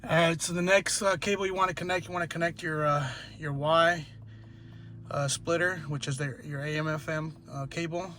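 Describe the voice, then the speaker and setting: A man with a slightly high voice talks through a hands-on repair job as a DIY presenter. He goes step by step, haltingly, repeating himself as he instructs. The amateur recording has clear background noise and clicking. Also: fairly even intonation, pushed and bright. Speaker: DIY car tutorial presenter. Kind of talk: hands-on how-to narration, unscripted.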